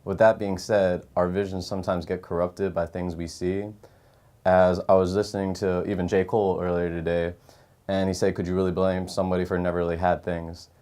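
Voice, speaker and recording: Young man, very low pitch, quick delivery, casual and animated. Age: young adult. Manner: fast, casual, animated anecdote telling. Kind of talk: casual storytelling in a panel discussion.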